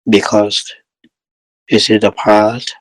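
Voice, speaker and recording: Slow, low-pitched man guiding meditation. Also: dry recording, poor audio. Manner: calm, measured, with long pauses between short phrases. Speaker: meditation teacher leading a guided session. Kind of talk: guided meditation instruction.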